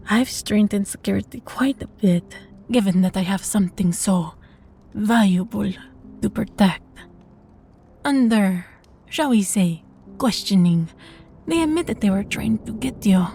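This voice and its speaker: Casting: female actor for a sadistic vampire mistress, unhurried and darkly mellow, possessive and condescending, intimate audio roleplay. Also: mid-range voice, slight background noise, close to an everyday voice. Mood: smug, menacing. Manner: slow, teasing, condescending, often dropping to a breathy whisper.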